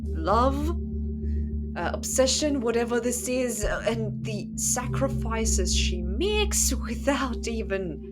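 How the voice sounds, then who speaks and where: Woman host chatting thru a story, sardonic n opinionated, kinda unhurried, music playing behind her. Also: fairly high pitch, sing-song. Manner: sardonic, conversational. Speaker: host of a book channel. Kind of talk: casual opinionated storytelling commentary to viewers. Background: instrumental music.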